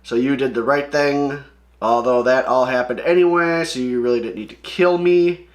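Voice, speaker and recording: A man's voice: medium pitch, moderate pace, close to an everyday voice. A man reading the part of a laid-back, wry comic-book comrade with a slight grudge.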